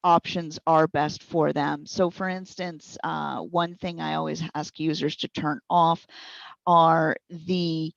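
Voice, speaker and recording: A woman's voice: sits low, little pitch movement, slow, gravelly, no echo, highs cut off. A woman working as a trainer, giving practical, step-by-step explanations with examples in an interview. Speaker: speech recognition consultant and trainer.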